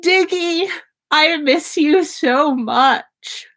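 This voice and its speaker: Woman acting a breathy, lovesick young woman. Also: sits high, very slow, fairly bright tone, voice pushed up, heavily acted. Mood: longing. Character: lovesick young woman pining in private thoughts. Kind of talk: acted inner monologue in a read-aloud story.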